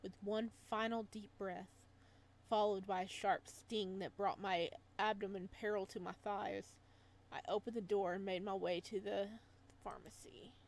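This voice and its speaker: A woman doing a let's play, reading story text aloud and adding expressive, chatty reactions in a casual style. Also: medium pitch, moderate pace, poor audio. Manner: expressive, chatty asides between read passages.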